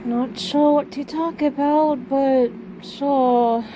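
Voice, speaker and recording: A woman playing a reserved, tired, evasive teenage daughter in an amateur audio drama, speaking in a halting, naturalistic way. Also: sits high, steady tone, background noise. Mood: evasive, subdued.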